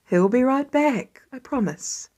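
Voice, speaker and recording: A woman's voice: high-pitched, lively intonation, unhurried, a little mellow. A woman voicing a tired, affectionate middle-aged father in an audiobook, with weary, sarcastic grumbling. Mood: soothing.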